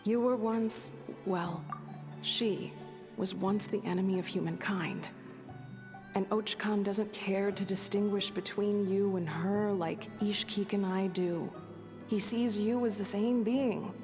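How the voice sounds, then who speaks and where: Woman acting wise resolute female chieftain, game drama, slow, tender, reflective, long reverb, music behind. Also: mid-range voice, fairly even intonation, lightly acted. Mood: solemn, resigned. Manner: unhurried, gentle, reflective, firm.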